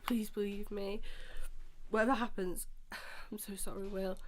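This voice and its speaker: A woman's voice: mid-range voice, flat delivery, a little slow, lightly acted. A woman acting a distraught, remorseful caller leaving a desperate voicemail in an audio drama, upset and sighing.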